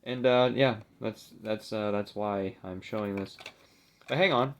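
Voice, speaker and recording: Young man, slightly low voice, casual vlogger talk, hesitant and self-deprecating. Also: unhurried. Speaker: video creator showing a collectible. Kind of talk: casual unscripted vlog chat.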